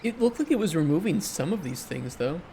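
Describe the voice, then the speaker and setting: A man who develops indie games, chatting casually on a livestream and thinking aloud as he answers chat, his voice hoarse after hours of streaming. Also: a little high, very animated, brisk, dark tone, a little hiss or hum.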